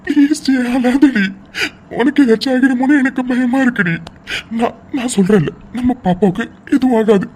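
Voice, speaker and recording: A woman playing an anxious young husband in an emotional audio drama, pleading tenderly and haltingly as he reassures his wife. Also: on the high side, warm tone, close to an everyday voice. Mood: pleading, tearful. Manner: pleading, halting, repeats words, tearful. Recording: faint background noise.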